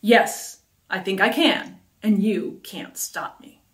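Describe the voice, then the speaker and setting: A woman's voice: mid-range voice, takes it slowly, recorded from a distance, natural voice. A fierce young farm girl, scared yet stubbornly defiant, read aloud by a woman. The voice sits in a roomy, echoing space. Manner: defiant, emotional. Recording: roomy echo.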